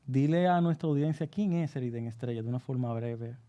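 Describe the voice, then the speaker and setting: A man's voice: mid-range voice, a little fast, warm tone, muffled recording. A man hosting a podcast, welcoming a guest and asking short questions. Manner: brief, encouraging interjections. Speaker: podcast host. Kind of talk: podcast interview.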